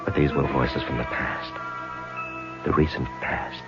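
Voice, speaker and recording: An actor playing a weary, troubled husband in a radio drama, brooding and hesitant in manner. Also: male voice, very deep voice, steady tone, a little slow, creaky, heavily acted. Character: weary, troubled husband caring for his sick wife. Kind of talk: radio drama acting with brooding first-person narration. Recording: muffled vintage radio, loud music behind.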